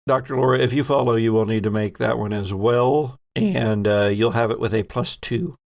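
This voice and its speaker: A man hosts a tabletop horror role-playing game as its game master. His mid-range voice has a heavy creak to it. He switches between describing scenes and handling rules with players. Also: mid-range voice, normal speed, slight background noise.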